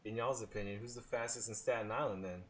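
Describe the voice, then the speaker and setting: A man hosting a podcast, asking his questions in a measured, careful way. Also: fairly low pitch, steady tone, crowd noise. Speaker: podcast host. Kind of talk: interview questions.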